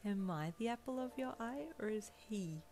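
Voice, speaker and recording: A woman voicing a starstruck, excitable young woman in a story, with a breathy delivery. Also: a little high, fast talker, slight background noise, voice pushed up, lightly acted. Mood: teasing, curious. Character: starstruck, giddy young woman, hero's girlfriend.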